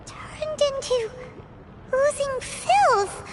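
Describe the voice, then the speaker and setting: A woman voicing a small, childlike fairy companion in a video game, with a squeaky cartoonish voice. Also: expressive, very slow, heavy background noise, heavily acted. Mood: shocked.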